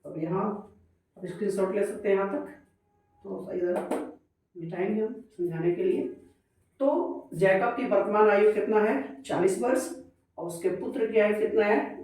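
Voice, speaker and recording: A man's voice: sits high, measured pace, pauses often, a little mellow. Man teaching maths in an echoey room. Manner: asks the class questions and answers them himself. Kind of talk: explaining a maths problem step by step. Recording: echoey room, voice far from the mic.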